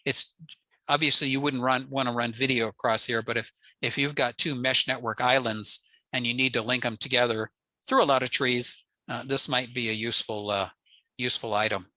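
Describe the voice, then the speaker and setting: A man's voice: medium pitch, fairly even intonation, moderate pace, bright, forceful tone, dry recording, low-quality recording. An older American man giving an informal technical slide presentation in a matter-of-fact, plain-spoken way. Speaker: presenter, amateur radio enthusiast. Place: indoors, on a video call.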